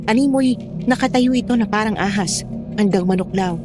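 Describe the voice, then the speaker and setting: A woman's voice: mid-range voice, normal speed, music in the background. A woman reading a scripted first-person horror story as its narrator.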